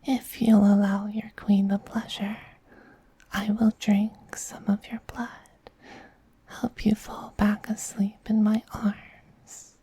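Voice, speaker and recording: An effeminate vampire queen, acted by a man, murmurs in a very breathy, velvety dark whisper for an ASMR roleplay. The delivery is slow, tender and quietly dominant, doting on a beloved pet with soothing, evenly pitched softness. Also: monotone, dry recording, full character voice, low-quality recording. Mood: adoring. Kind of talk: soft-spoken ASMR roleplay.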